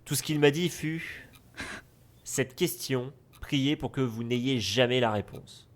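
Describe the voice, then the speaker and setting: A man's voice: medium pitch, takes it slowly, close to an everyday voice. A man playing a polite, evasive notary with a formal, hesitant, apologetic manner in a French audio drama. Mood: hesitant, uneasy.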